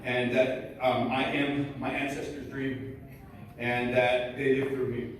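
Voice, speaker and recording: Nervous man, student speaker at a gala, hesitant and halting, mic distant in a very echoey hall with faint hum. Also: mid-range voice, level tone. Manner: hesitant with many fillers, reading aloud. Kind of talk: nervous prepared speech. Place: large event hall.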